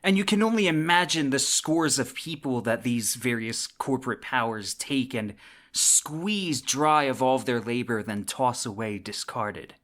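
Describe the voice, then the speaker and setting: A man's voice: mid-range voice, expressive, measured pace, a bit bright. A man hosting a podcast in a conversational, storytelling monologue, leaning on dramatic emphasis and dropping in wry, colloquial asides. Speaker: podcast host. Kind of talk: semi-scripted conversational narration, storytelling monologue.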